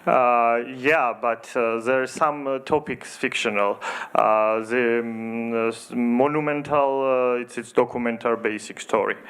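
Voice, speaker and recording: A man with a Georgian accent and a smooth, resonant voice speaks in English. He pauses often and fills the gaps, and he stays earnest as he answers questions at a festival panel. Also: mid-range voice, steady tone, fairly bright tone. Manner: hesitant, many fillers, earnest. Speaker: theater director. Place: festival discussion hall.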